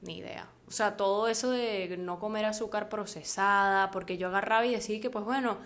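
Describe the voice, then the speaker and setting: A woman's voice: medium pitch, steady tone, brisk, fairly bright tone. A young woman with a Maracaibo Venezuelan accent, co-hosting a casual chat and laughing easily, with lots of "o sea". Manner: chatty, laughs easily, uses fillers like "o sea". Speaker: podcast co-host.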